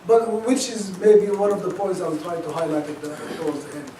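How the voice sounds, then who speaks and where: A man from Sudan with a Sudanese accent, giving an academic lecture in a thoughtful, halting way full of restarts. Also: sits high, dark tone, slight background noise. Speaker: guest lecturer. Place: lecture auditorium. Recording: echoey auditorium, mic at a distance.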